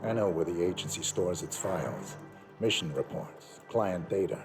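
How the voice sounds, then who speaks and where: Grim hitman in a game, super deep voice, terse and deadpan, music behind. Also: normal speed. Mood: cool, determined. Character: stoic, grim professional assassin, grown man. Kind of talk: cinematic video game acting.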